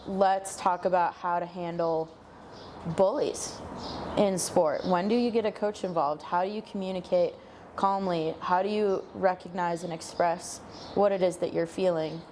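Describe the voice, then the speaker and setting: A woman's voice: on the low side, steady tone, a little slow, mellow, a little hiss or hum. A young woman from Minnesota, an interview guest explaining her coaching work articulately, often with quoted examples. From Minnesota, United States. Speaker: guest, sports psychology coach.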